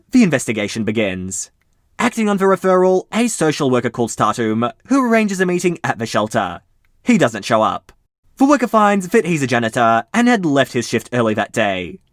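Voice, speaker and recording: Man reading mysteries with a lively voice. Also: mid-range voice, no echo, clean studio sound. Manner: lively storytelling delivery. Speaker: channel host reading posts. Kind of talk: reading mystery posts aloud with casual asides.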